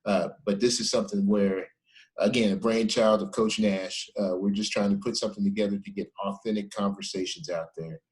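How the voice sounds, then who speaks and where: A man hosting a casual podcast interview. His voice sits on the low side, and he sounds friendly and appreciative. He laughs easily with his guest. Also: steady tone, fairly quick, poor audio. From Texas. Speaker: podcast host.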